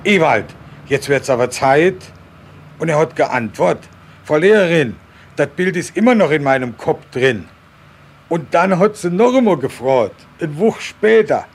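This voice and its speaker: A man's voice: fairly high pitch, animated, unhurried. An older man telling his memories as an eyewitness in a spontaneous, folksy way. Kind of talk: spontaneous oral storytelling.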